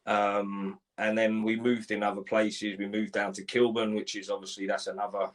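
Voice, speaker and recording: A man in his forties from Ireland, telling his life story in a live interview, halting, with many restarts and repeated words. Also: fairly low pitch, little pitch movement. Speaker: guest.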